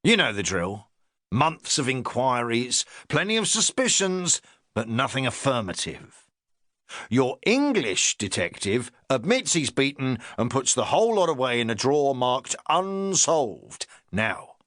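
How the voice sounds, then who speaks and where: A self-important police inspector lectures his sergeant in pointed, hectoring phrases, voiced by a male narrator. He talks slowly, his voice swooping up and down as he hammers each point home. The reading is close and dry, with no room sound. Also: medium pitch, very animated, fairly bright tone, dry recording. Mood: lecturing. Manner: pompous, hectoring, jabbing phrases. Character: pompous lecturing police inspector, middle-aged man. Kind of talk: audiobook character reading.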